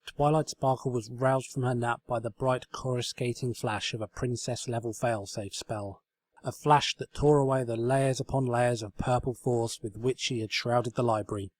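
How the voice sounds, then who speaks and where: A man's voice: medium pitch, fairly even intonation, normal speed, no room sound. A man reading a story aloud as narrator, in a scripted storybook style. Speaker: fan fiction reader, narrator.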